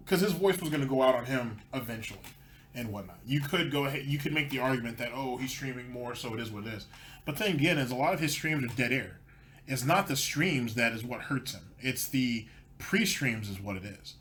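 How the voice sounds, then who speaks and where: A man rants through his commentary with a sarcastic, mocking edge. His voice sits at an ordinary pitch. A faint hiss of background noise runs beneath him. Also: lively intonation, brisk, a bit bright. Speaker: commentary channel host. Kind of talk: ranting commentary over clips.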